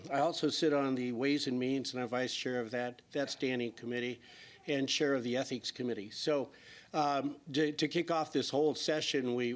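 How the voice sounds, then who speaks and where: A man, a state senator with a slightly scratchy voice, speaking plainly at a public forum. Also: medium pitch. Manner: plainspoken, listing things with fillers.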